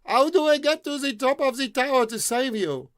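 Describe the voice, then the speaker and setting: Man doing a dopey fat prince yelling in fake german, dry sound. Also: high-pitched, big pitch swings, moderate pace, dry recording. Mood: shouting. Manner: bellowing pompous declarations in mock German. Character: fat bumbling prince, grown man, pompous and dim.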